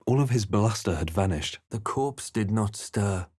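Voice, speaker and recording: An actor playing a brooding male fantasy adventurer who recounts his journey in a grave, measured, theatrical way for a video game. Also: fairly low pitch, animated, darkish tone, slightly rough, no echo, clean studio sound. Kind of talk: scripted dramatic narration and game character barks.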